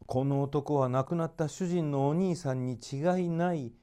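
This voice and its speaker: A man's voice: fairly high pitch, moderate pace, warm tone, smooth, voice pushed up, natural voice. A man voicing a poor, sensible widowed mother with a homely, doubtful way of speaking. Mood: persuaded.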